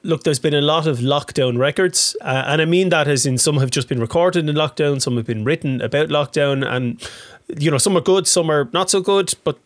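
A man's voice: on the high side, brisk, no room sound, studio quality. A man hosting a casual interview, a bit chesty from a tickle in his throat, chatty and tripping over repeated words. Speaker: radio interview host.

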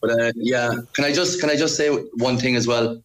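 A man's voice: mid-range voice, fairly even intonation, moderate pace, a bit bright, low-quality recording. A man with a Cork accent, a football coach chatting on a remote call and telling stories with a laugh. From Ireland. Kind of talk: casual interview chat.

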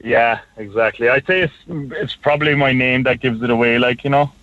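A man's voice: mid-range voice, brisk, fairly bright tone, dry recording. A man from Hungary calling into a radio show, rambling and full of "like, you know" fillers. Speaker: caller. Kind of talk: phone-in call. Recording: tinny phone line.

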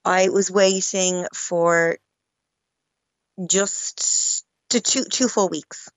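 A woman's voice: lowish voice, steady tone, very slow. A woman in her thirties, a new mother, tells her story hesitantly with many ums in a radio interview.

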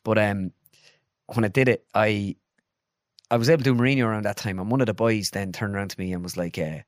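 Irish midlands man, young, rapid, animated, dry close mic. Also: lowish voice, dry recording. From Ireland. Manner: animated, jumps into voices, lots of filler "like". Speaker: guest impressionist. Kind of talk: casual storytelling.

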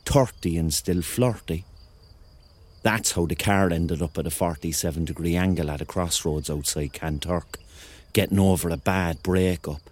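A man's voice: low voice, normal speed, darkish tone. An actor playing a hapless, self-mocking thirty-year-old man with a Cork accent, telling his story as a rambling, wry confession in a radio drama. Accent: Cork Irish.

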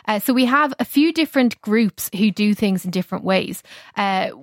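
A woman's voice: mid-range voice, expressive, normal speed, no echo, studio quality. A woman with an Irish accent speaking as a technology correspondent, explaining things in an informal, conversational radio style.

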